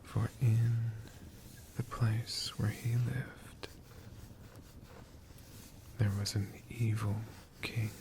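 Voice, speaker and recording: An ASMR storyteller whispering a tale in a hushed, lingering manner, pausing and repeating words. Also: mid-range voice, little pitch movement, noisy background. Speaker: ASMR storyteller, channel host.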